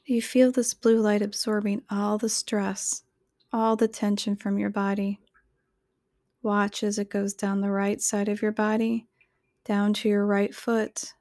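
A woman reading a scripted guided meditation in a soothing, measured, instructional way, with a soft voice that turns breathy at phrase ends. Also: medium pitch, level tone, no echo, clean studio sound.

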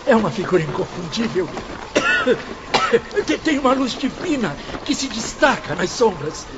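Radio drama: faltering, awestruck spirit, swooping intonation, heavy noise over muffled sound. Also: male voice, sits high, sing-song, normal speed. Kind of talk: theatrical radio drama acting.

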